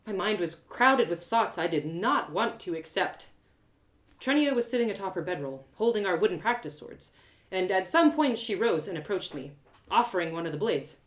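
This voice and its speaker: A woman storyteller giving a dramatic, deliberate first-person narration from a script. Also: medium pitch, expressive, roomy sound.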